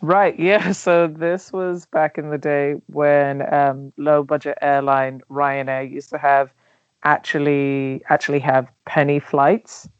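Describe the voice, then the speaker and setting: A woman's voice: deep voice, level tone, slow pace, clean tone, dry recording. Hesitant London-accented woman guest. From Nigeria. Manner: hesitant, many ums, thoughtful. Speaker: guest, travel blogger. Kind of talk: interview answers. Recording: dull dry recording.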